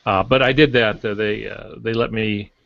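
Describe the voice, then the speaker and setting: Older guy rambling casually on a podcast, lowish voice, stops to find words, muffled lo-fi sound. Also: steady tone, moderate pace, gravelly. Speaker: podcast guest, writer. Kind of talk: casual panel talk, anecdotes. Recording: dull lo-fi roomy online audio.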